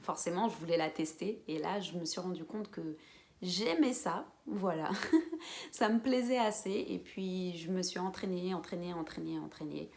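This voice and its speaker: A woman in her twenties from French Guiana, chatting casually to camera as a beauty vlogger, with quiet whispered asides. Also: fairly low pitch, moderate pace, vocal fry. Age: 20s. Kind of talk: casual vlog chat to camera.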